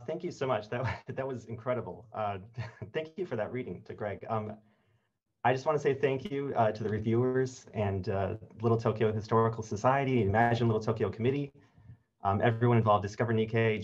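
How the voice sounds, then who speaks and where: A man's voice: fairly low pitch, a little fast. Man in his thirties, dull call audio. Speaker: award winner. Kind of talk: informal thank-you speech. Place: indoor office. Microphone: computer mic on video call.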